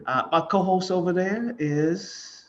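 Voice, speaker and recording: A man hosting a podcast, relaxed and casual, who says "good deal" often. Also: high-pitched, slow pace. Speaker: podcast host. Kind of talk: casual interview. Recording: dull lo-fi with faint noise.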